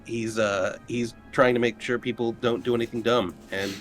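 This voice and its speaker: A man's voice: medium pitch, steady tone, normal speed. Male tabletop player, casual conversational delivery, music in the background. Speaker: player in tabletop RPG show. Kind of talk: casual chat.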